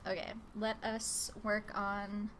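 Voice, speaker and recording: Slow-talking woman, nasal from a cold. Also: medium pitch, level tone, a bit bright, slight background noise. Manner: easygoing, rambling, lots of filler words. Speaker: live-stream artist host. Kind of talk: casual live-stream chat while drawing. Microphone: open desk microphone.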